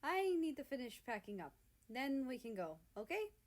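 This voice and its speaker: A woman's voice: sits high, very animated, moderate pace, pitched above the performer's own voice. A woman voicing an affectionate, teasing man who is a protective older brother in a read-aloud story.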